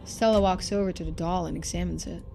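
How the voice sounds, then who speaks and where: A woman's voice: fairly low pitch, steady tone, fast, gravelly, dark tone, soft background music. A woman reading game narration expressively and adding casual commentary as a streamer.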